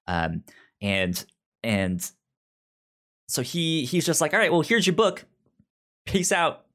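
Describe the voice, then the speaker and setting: A man's voice: fairly high pitch, sing-song, slow pace, sharp tone. A man co-hosting a film review podcast over a video call, talking casually with many stammered restarts. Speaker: podcast co-host. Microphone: remote video call.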